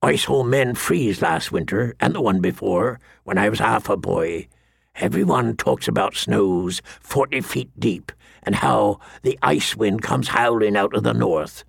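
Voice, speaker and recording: A man's voice: fairly low pitch, animated, measured pace, vocal fry, husky, dry recording, studio quality, voice pushed down, exaggerated voice. A man voicing a grizzled, grim old man-at-arms in an audiobook, with grave, rustic, plain speech. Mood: grim, hushed.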